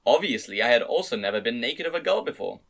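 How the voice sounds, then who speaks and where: A man's voice: mid-range voice, very animated, fast, vocal fry, a bit bright. A man narrating a first-person story as an amateur dramatic reading. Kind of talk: dramatic reading of fan-read light novel narration.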